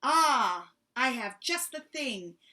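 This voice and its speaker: A woman's voice: high-pitched, animated, slow pace, pitched above the performer's own voice, heavily acted. A woman doing a cheerful little mouse. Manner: bright and eager to help. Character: small, cheerful, bustling male mouse. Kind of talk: storybook read-aloud.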